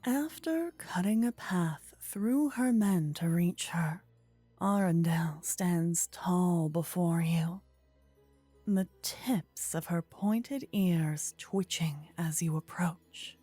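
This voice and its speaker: A woman narrating a scripted second-person fantasy story in a soft, whispery ASMR style with measured delivery. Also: fairly low pitch, dry recording, faint music behind. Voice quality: breathy, whispery. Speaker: narrator and voice actor.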